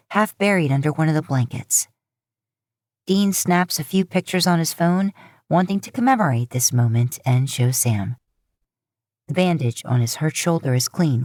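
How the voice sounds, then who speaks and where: A woman reading scripted fiction as a narrator, with measured storytelling delivery. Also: deep voice, darkish tone, dry recording, clean studio sound. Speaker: podfic narrator.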